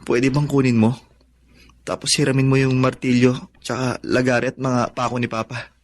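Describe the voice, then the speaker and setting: A man's voice: medium pitch, level tone, normal speed, natural voice. A man acting in a radio drama as a wistful, determined young son who speaks earnestly and politely.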